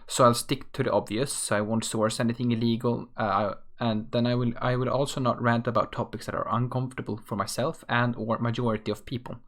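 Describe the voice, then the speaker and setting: A man's voice: medium pitch, little pitch movement, brisk, few pauses, fairly bright tone. A young man from Sweden with a Swedish accent, reading a self-mocking solo rant from a script as host of his own show. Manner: offhand, self-mocking, reads script aloud. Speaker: podcast host.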